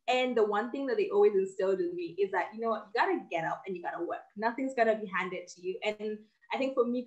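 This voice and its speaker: A woman's voice: mid-range voice, lo-fi sound. A woman in her twenties from Kenya, a guest on a casual interview over a video call, speaking confidently and articulately, recorded on a laptop webcam at home. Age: 20s. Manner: confident, articulate, laughs easily. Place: home office with bookshelves.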